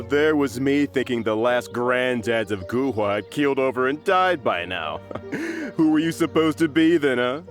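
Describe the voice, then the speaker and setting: Slow, sneering game thug. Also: male voice, on the high side. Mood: mocking. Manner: menacing, mocking, taunting. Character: sneering, bullying henchman of a criminal boss. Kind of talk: video game voice acting.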